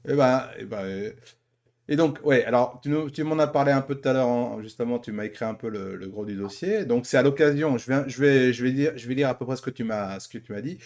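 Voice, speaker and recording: A man hosts a relaxed interview in a mid-pitched voice. His delivery is informal and hesitant, and he often trips over words and corrects himself. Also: brisk. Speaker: interviewer and host. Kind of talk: casual podcast-style interview.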